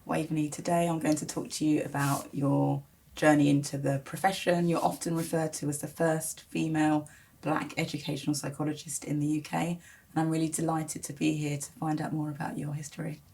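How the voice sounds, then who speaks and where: A woman educational psychologist interviewing, asking gentle, encouraging questions. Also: low voice, level tone, brisk, vocal fry. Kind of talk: oral history interview.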